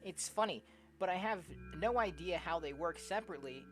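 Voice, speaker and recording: Expressive low-pitched woman reading game text. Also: fairly quick. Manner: expressive, often giggly, slangy. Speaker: streamer playing a visual novel. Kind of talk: let's-play, reading game text aloud with casual reactions. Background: game music.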